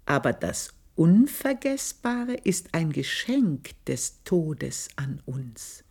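An actress playing an older, passionate, scheming maidservant in a radio drama monologue, with an intense, deliberate, breathy delivery. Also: female voice, low-pitched, big pitch swings, darkish tone, heavily acted. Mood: solemn, brooding.

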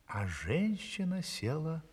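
A man telling a fairy tale to children theatrically, savouring his words with a breathy, hushed delivery. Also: a little high, huge pitch swings, takes it slowly, in a large hall, exaggerated voice. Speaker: storyteller.

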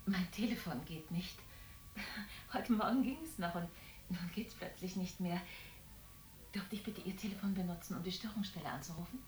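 A woman's voice: lowish voice, moderate pace, soft background music, natural voice. An actress playing a tired, chatty mother from next door in a radio play, rambling and confiding. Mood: apologetic, polite. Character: tired, chatty neighbour, single mother of a teenage daughter.

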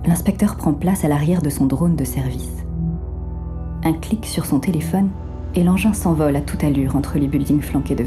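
A woman playing the wry omniscient narrator of a noir audio drama, measured and faintly ironic as she tells the story. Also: sits low, close to an everyday voice.

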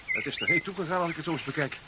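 A man's voice: a little high, huge pitch swings, rapid-fire. A man playing an eager professor, a little hesitant but keen, in a 1970s radio drama. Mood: observant. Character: eager professor, game despite recent weakness. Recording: dull, noisy 1970s radio recording.

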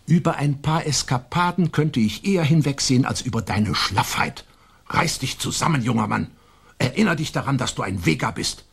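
An actor in a German radio play, playing a proud, domineering old colonial nobleman and stern father with a husky voice and an imperious, emphatic delivery. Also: medium pitch, expressive, normal speed, exaggerated voice. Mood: scolding. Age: older.

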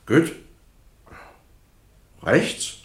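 An actor playing a flustered, defensive witness, agitated yet deferential, in a German radio courtroom drama. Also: male voice, drawn-out words. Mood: hesitant. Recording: roomy, echoing courtroom sound.

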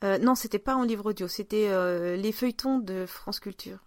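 A woman hosting a podcast in casual chat, enthusiastic and halting, repeating words as she goes. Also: mid-range voice, darkish tone, no echo, clean studio sound. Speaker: podcast host.

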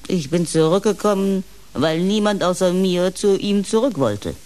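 A woman's voice: lowish voice, lively intonation, moderate pace, a little mellow, lightly acted. An actress in a German radio play playing an older, pious housekeeper, blunt, motherly and needling in a dry, folksy way. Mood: stubborn, dry. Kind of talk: German radio drama acting.

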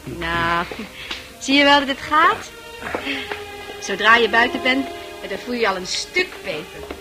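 A woman's voice: fairly high pitch, big pitch swings, a little slow, low-quality recording. An actress in a Dutch radio play, playing a worldly, coaxing woman of easy virtue with a chatty, knowing manner. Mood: coaxing, practical. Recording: radio play with music behind.

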